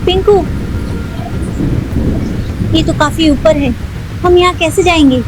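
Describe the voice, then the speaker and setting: A woman's voice: very high-pitched, slow, noisy background. A woman voicing a worried, cautious parent in a children's toy cartoon story.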